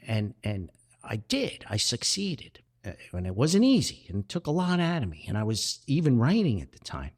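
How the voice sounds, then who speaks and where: An older man speaks as a podcast host at a middling pitch that swings up and down freely. He rambles through personal reflections with earnest emphasis. His delivery is halting, with repeated words and backtracking.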